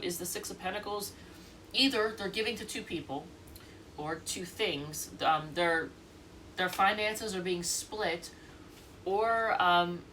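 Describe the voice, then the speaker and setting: A woman's voice: fairly low pitch, a bit bright, far from the mic, a little hiss or hum. A congested woman with a stuffy nose giving a casual, rambling tarot reading, thinking aloud and restarting often. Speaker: tarot reader.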